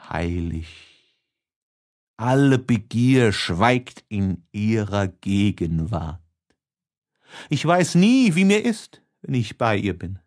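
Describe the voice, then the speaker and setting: Audiobook role: tormented young male lover, played by a male reader, slow, impassioned, sighing, sensitive. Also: fairly high pitch, voice pushed up, heavily acted. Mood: exalted. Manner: impassioned, sighing, swinging between hush and exaltation. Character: lovestruck, tormented, sensitive young man writing letters.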